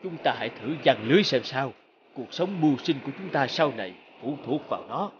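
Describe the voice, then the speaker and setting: A man's voice: mid-range voice, lively intonation, brisk, vocal fry, lo-fi sound, slight background noise. An actor playing an old fisherman grandfather who leads and instructs in an encouraging manner. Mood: thoughtful, encouraging. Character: wise old fisherman grandfather, resourceful leader. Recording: music behind the voice.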